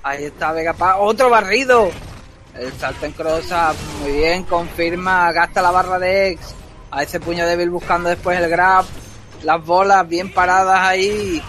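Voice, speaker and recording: Excitable high bright fighting-game commentator. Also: male voice, moderate pace, slight background noise. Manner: excitable, jokey, shouts at big moments. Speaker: esports commentator. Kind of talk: fast play-by-play commentary.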